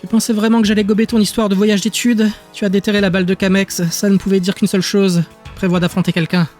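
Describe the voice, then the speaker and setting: A woman's voice: medium pitch, level tone, fast talker, darkish tone, soft background music, lightly acted. A woman playing a determined, reproachful wife in dialogue from an audiobook drama. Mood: breathless reproach. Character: determined, reproachful wife who tracked her husband.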